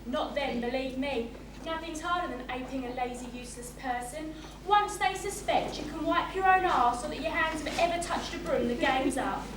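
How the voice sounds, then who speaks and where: Actress as blunt, high-voiced inn servant. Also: female voice, moderate pace, close to an everyday voice. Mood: wry, advising. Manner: brusque, worldly-wise. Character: blunt, earthy, no-nonsense inn servant woman. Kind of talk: stage drama acting. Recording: echoey hall, distant, loud noise.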